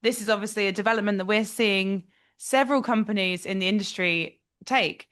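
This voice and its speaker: A woman hosting a podcast interview, who affirms her guest and asks questions with quick laughs. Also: mid-range voice, fairly bright tone. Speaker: podcast host.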